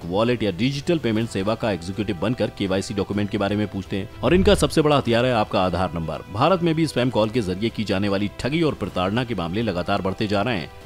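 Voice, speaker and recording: A man with a fairly low voice narrates a scripted explainer. His delivery is emphatic and lively, with pitch that moves a lot, and he addresses the listener directly. Faint music plays behind him. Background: background music.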